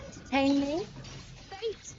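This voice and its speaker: A woman's voice: high-pitched, lively intonation, slow, darkish tone, slight background noise. A woman voicing poised, imperious young female fighters in an anime game, with theatrical, commanding short declarations. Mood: haughty, commanding. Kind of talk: anime game combat voice lines. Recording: dull game audio under music.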